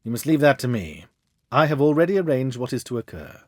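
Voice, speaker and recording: A man reading a storybook as a confident, commanding Victorian gentleman detective with an assured, theatrical manner. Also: medium pitch, big pitch swings, brisk, no room sound, clean studio sound.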